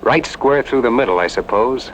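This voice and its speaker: A man's voice: mid-range voice, animated, moderate pace, slight background noise, natural voice, poor audio. An actor playing a resolute, plainspoken man, terse and sober in a radio drama. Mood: grim, resolved. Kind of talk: Western radio drama acting.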